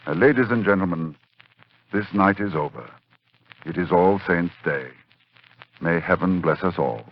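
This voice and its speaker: An actor playing a grave, learned doctor in a 1940s radio horror drama, solemn and deliberate in a theatrical way. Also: male voice, low voice, background noise. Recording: muffled 1940s radio recording.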